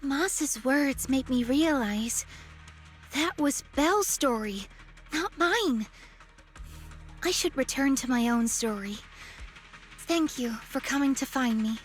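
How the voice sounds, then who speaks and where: High, unhurried girlish game companion. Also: expressive, faint music behind. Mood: reading aloud. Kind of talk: video game voice acting.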